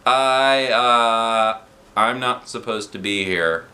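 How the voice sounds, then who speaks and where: A man's voice: medium pitch, slow pace, bright, forceful tone. A man voicing a nervous, wisecracking schoolboy in a children's storybook, with hammy stand-up delivery. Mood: hesitant, nervous. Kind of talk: children's storybook read aloud.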